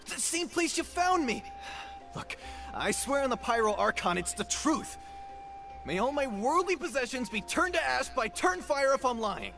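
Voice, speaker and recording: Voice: high-pitched, sing-song, moderate pace, vocal fry, full character voice. A performer voicing a cowardly young thief in a video game, who begs and swears oaths. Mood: earnest. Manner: frightened, begging, oath-swearing. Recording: game audio with music behind.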